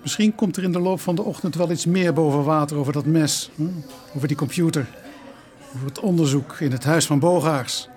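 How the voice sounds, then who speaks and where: An actor playing a courteous, methodical police inspector in a radio play, questioning in a measured, businesslike way. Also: male voice, a little high, a little mellow, close to an everyday voice. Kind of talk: naturalistic radio play dialogue.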